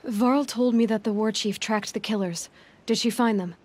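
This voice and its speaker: A woman's voice: fairly high pitch, moderate pace, a little mellow, close to an everyday voice. A woman as a determined young game huntress, earnest. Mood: concerned. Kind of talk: video game voice acting.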